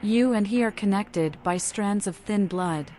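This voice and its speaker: A woman's voice: medium pitch, measured pace, dark tone, slight background noise, natural voice. A woman voicing a mad, knowing female vampire in a video game, speaking in a theatrical, riddling, poetic manner. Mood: cryptic. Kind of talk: video game mod voice acting.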